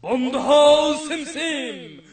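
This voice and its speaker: A man playing a commanding leader of a gang of horse-riding thieves in a cartoon, chanting drawn-out orders. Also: very high-pitched, background noise, full character voice.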